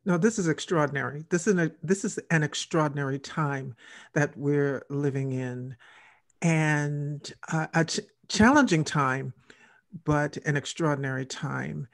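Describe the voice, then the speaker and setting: A woman's voice: sits low, unhurried. An older woman hosting a conversational interview over a video call on a headset. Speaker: radio show host.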